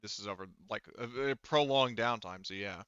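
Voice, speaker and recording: Man, low smooth voice, casual game master talk, dry but slightly dull lo-fi recording. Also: moderate pace, fairly bright tone, dry recording. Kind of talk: casual tabletop game discussion.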